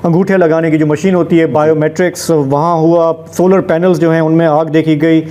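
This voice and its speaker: A man presenting a live news discussion in a measured, explanatory way. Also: on the high side, little pitch movement, dark tone.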